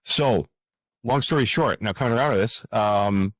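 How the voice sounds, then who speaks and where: A man's voice: on the low side, unhurried, fairly bright tone, no echo, low-quality recording. A man speaking as a writer being interviewed, thinking aloud earnestly and often repeating words as he goes. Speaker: podcast guest, fantasy writer. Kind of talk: interview conversation, reflective.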